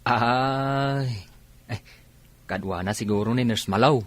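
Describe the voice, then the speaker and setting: A man's voice: mid-range voice, slow pace. A man playing an easygoing, teasing family driver in a radio drama. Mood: informative.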